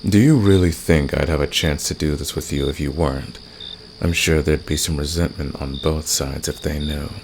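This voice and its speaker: A man playing a flirtatious, teasing fantasy villain with a secretly caring side, speaking intimately to the listener in an ASMR roleplay with a gravelly, seductive delivery. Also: a bass voice, steady tone, normal speed. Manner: teasing, seductive, soft-spoken. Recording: noisy, with nighttime crickets and insects. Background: cricket and insect night ambience.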